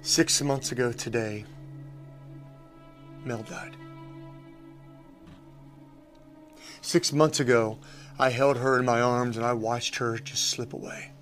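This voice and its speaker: A man's voice: mid-range voice, fairly even intonation, unhurried, long pauses, a bit bright, faint music behind, close to an everyday voice. A man playing an earnest, peacemaking group leader in an audio drama, insistent and reassuring. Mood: sombre.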